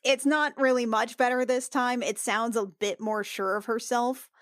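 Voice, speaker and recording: A woman's voice: a little high, fairly even intonation, moderate pace, dry recording, studio quality. A woman playing a tabletop roleplaying game, switching casually between speaking as her character and describing what the character does.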